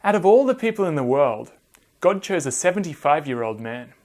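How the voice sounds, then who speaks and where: A man hosting and narrating a scripted Bible story for children in an expressive manner, addressing listeners directly. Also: a little high, a little fast, soft background music. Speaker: storyteller and host.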